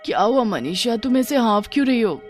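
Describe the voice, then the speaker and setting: A woman's voice: fairly high pitch, expressive, fairly quick, faint music behind, voice pushed up, close to an everyday voice. A woman voicing a sceptical, teasing young friend in a horror story, conversational and dismissive.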